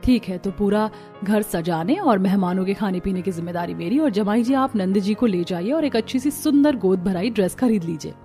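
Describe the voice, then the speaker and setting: A woman's voice: medium pitch, animated, fairly quick, dark tone, faint music behind, close to an everyday voice. A woman playing a caring, dutiful young sister-in-law in a cartoon family story. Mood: bright, organizing.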